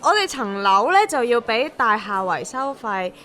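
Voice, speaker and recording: A woman's voice: on the high side, lively intonation, normal speed. An actress playing a practical, teasing young woman in a radio drama, with a Hong Kong accent and wry, colloquial delivery. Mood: careful persuading. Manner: wry, colloquial, gently bossy.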